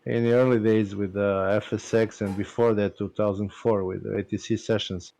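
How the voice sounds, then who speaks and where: A man from Serbia with a Serbian accent, speaking English as a second language in halting casual chat with many pauses and fillers on a live stream. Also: fairly low pitch, flat delivery, creaky, dark tone.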